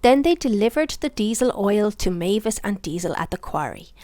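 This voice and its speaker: Animated woman reading a children's story. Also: medium pitch, normal speed, no room sound, clean studio sound. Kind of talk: expressive read-aloud bedtime story narration.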